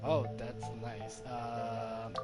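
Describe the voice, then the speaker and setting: A man's voice: mid-range voice, level tone, extremely slow. A man streaming a game, chatting casually in a rambling, hesitant way. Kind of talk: casual livestream chat over gameplay. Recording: game music under the voice. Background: video game music.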